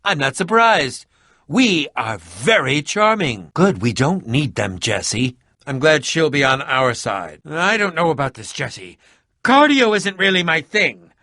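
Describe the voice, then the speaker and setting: A man's voice: fairly high pitch, big pitch swings, measured pace, sharp tone, no echo, studio quality, heavily acted. An actor playing an eccentric, prickly male adventurer in a video game, with a pompous, melodramatic and sardonic manner. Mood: wry. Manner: theatrical, pompous, sardonic, formal wording. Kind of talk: animated video game voice acting.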